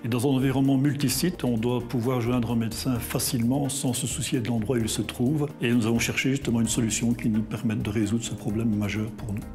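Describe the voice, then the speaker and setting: A man's voice: mid-range voice, steady tone, fast talker, few pauses, a little mellow. A man speaking as an institution's representative in a corporate testimonial. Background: background music.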